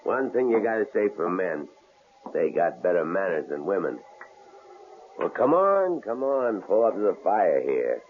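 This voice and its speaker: An actor playing a menacing, sneering male stranger in an old radio drama, with a gravelly, mocking drawl. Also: low-pitched, sing-song, unhurried. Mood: leering. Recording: tinny old radio broadcast, faint hiss.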